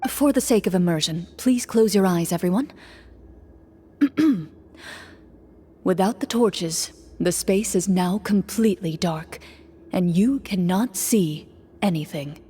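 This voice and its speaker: A woman voicing a calm, formal female game master who narrates a roleplay in a video game. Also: lowish voice, slightly rough. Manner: measured, formal.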